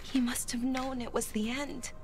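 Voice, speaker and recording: A woman playing a gentle, grieving young adventurer in a fantasy video game, speaking in a subdued, halting way. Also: high-pitched, level tone, soft background music. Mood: subdued grief.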